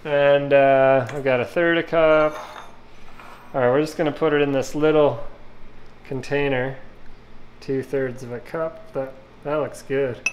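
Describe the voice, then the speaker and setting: A man's voice: mid-range voice, unhurried, pauses often. A middle-aged man casually talking through a cooking demo in his home kitchen, explaining each step as he works. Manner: relaxed, talks through each step while working. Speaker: home cook vlogger. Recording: kitchen clatter and dish noise behind.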